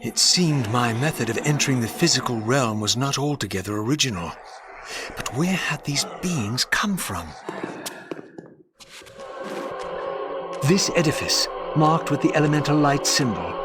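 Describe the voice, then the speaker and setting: A brooding male wraith played by a man narrates a gothic game tale in a mid-pitched voice. He is solemn, deliberate and theatrical, a fallen hero set on his destiny. Loud music plays behind him. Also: lightly acted. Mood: pondering. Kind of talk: scripted first-person game narration.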